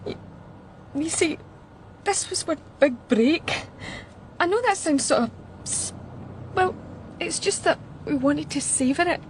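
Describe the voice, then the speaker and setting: An actress playing a shaken, chatty young woman musician with a Glasgow Scottish accent, talking in a halting, blunt, colloquial way in a radio drama. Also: high-pitched, lively intonation, a little hiss or hum. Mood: shaken, upset. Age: young adult. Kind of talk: naturalistic radio drama acting.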